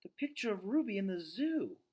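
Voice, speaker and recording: A man's voice: sits high, sing-song, brisk, a little mellow, husky, pitched above the performer's own voice, heavily acted. A man voicing an eager, stubborn, pleading young girl for a storybook read aloud. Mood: insistent.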